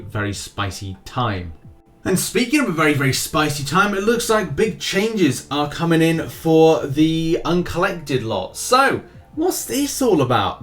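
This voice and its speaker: A man hosting a gaming news video with casual commentary, rambling a little and repeating phrases for emphasis. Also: medium pitch. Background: background music.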